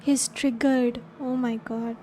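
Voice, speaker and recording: A woman's voice: fairly high pitch, steady tone, slow pace, dark tone, slight background noise. A woman reacting casually as she live streams a game.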